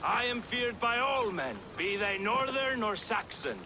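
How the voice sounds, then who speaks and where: Game brawler: boastful Norse bruiser, slow, wildly swinging delivery, taunting, faint rain behind. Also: male voice, extremely high pitch, expressive, gravelly, noisy background, heavily acted. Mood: swaggering. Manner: taunting, blustering, declamatory. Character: brash boastful Norse brawler, swaggering and thin-skinned.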